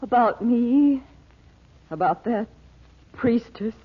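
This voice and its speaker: A tinny old radio recording carries an anguished mother in a vintage serial. Her voice is husky and full of fear. She pleads emotionally, as if haunted by something evil. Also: on the high side, lively intonation, slow, heavily acted. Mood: uneasy. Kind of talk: 1940s radio drama acting.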